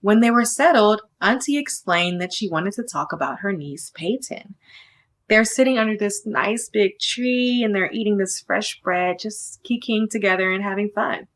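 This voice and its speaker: A woman's voice: mid-range voice, normal speed, a bit bright. A woman reading a children's story aloud, with friendly, casual asides that explain it. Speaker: storybook reader.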